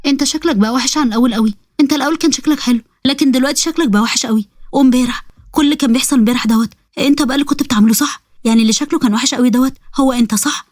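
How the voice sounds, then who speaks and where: A woman's voice: high-pitched, very fast, dry recording, studio quality. A woman voicing a frightened young woman with an Egyptian accent who pleads in a panicky way. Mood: shaken. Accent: Egyptian Arabic.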